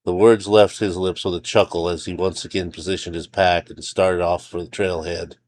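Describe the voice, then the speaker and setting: A man's voice: deep voice, level tone, moderate pace, darkish tone, slight background noise. A man reading his own fiction aloud as a scripted story narrator.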